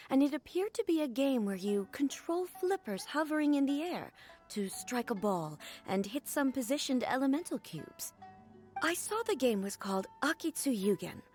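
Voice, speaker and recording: A dignified female ruler in a game cutscene. Her voice is fairly high with lively intonation, yet formal, composed and measured, over soft music. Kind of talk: video game cutscene acting. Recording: music behind the voice.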